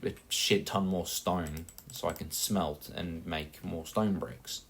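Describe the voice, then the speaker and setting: Deep-voiced Australian guy chatting over gameplay. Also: steady tone, takes it slowly, fairly bright tone, slight background noise. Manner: rambling, self-mocking, many fillers. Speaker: gaming channel host. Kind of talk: casual gameplay chat.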